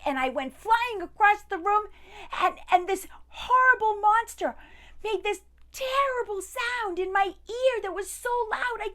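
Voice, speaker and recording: A woman's voice: extremely high pitch, fairly bright tone, pitched above the performer's own voice, exaggerated voice. A woman voicing a panicked young male robber who stammers out his frightened report. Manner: stammering, frantic.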